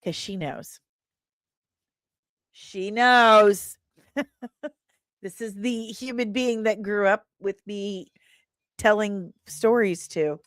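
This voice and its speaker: A woman hosting a casual livestream, chatting and reading out comments in a playful, expressive, sing-song way. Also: mid-range voice, extremely slow, pauses often, no echo, studio quality. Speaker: live stream host reviewing comics and screen news. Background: faint background music.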